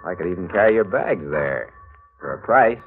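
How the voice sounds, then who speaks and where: A muffled old radio broadcast with faint music behind it. a hardboiled, scheming man with a low voice, delivering terse, slangy noir lines in 1940s style. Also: animated, moderate pace. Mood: flirtatious. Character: hardboiled, scheming male drifter narrating his crime.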